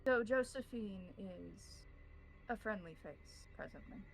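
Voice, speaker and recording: A woman speaking as game master in measured, formal phrases, improvising roleplay and narration. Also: mid-range voice, vocal fry, faint music behind.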